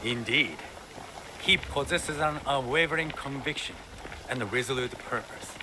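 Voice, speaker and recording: A man's voice: mid-range voice, moderate pace, background noise, lightly acted. A man playing a grandiose, zealous samurai recruiter in a video game cutscene, talking in a florid, ingratiating, theatrical way. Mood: zealous, assured.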